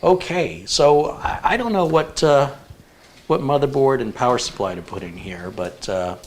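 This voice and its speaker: A man hosting a live stream, chatting casually and thinking aloud with relaxed fillers. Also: mid-range voice, normal speed, slight background noise. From United States. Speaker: show host.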